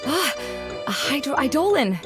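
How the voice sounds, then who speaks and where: A woman playing a kindly, composed young guide in an anime video game, gentle and explanatory, with music behind her. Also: high voice, sing-song, unhurried. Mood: explaining.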